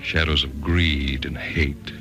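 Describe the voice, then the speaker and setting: Deep American male announcer, slow, portentous, theatrical 1940s radio style, loud organ music behind. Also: flat delivery.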